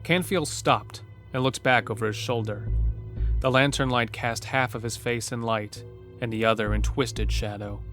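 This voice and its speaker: A man's voice: mid-range voice, normal speed, fairly bright tone. Casting: male narrator for scripted horror fiction, mid-range voice, set over a prominent music bed. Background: music under the narration.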